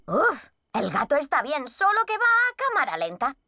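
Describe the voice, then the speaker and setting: Cartoon girl hero, sensible, earnest, very high and expressive. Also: normal speed, no echo, studio quality, exaggerated voice. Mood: concerned, explaining. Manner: earnest, explanatory. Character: sensible young girl superhero, slightly scolding. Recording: music clearly behind the voice.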